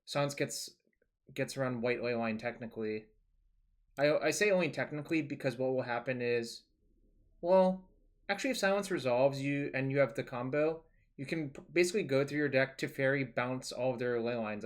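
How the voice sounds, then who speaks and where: Rambling young man streaming a card game. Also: medium pitch. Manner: relaxed, rambling, thinking aloud.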